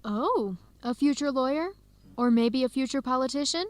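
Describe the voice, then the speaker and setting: A woman's voice: sits high, moderate pace, no room sound, natural voice. A woman playing a friendly young Ethiopian American grad student with an American accent in a radio drama, speaking in a conversational way. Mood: interested. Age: young adult. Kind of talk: educational radio drama acting.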